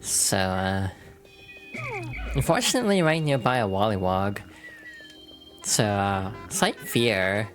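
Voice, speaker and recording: A game streamer with a hoarse, froggy voice, chatting casually and thinking aloud with many fillers while playing. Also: deep voice, lively intonation, takes it slowly, long pauses, soft background music. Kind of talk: casual gameplay commentary.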